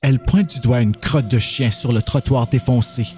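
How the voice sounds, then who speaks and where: Male narrator for a horror audio story, mellow tone, average pitch, faint music underneath. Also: moderate pace.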